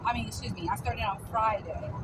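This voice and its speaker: A woman's voice: medium pitch, fairly quick, heavy background noise. A woman in her forties chatting casually and upbeat to her viewers in a fitness vlog. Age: 40s. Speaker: fitness vlogger. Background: loud music.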